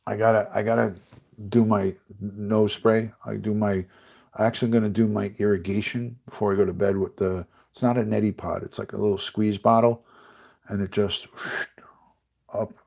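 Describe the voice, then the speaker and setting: A middle-aged retired detective from the United States chatting casually on a livestream, rambling and reading out viewer comments, with a congested, stuffy voice. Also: male voice, lowish voice, flat delivery, normal speed, vocal fry, a little mellow.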